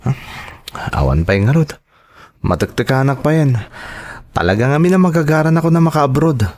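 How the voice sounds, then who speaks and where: Young Filipino son, earnest and reassuring, mid-pitched male actor, natural audio drama dialogue. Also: animated, normal speed, a little mellow, close to an everyday voice. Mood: eager. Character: earnest, reassuring grown son planning to work abroad.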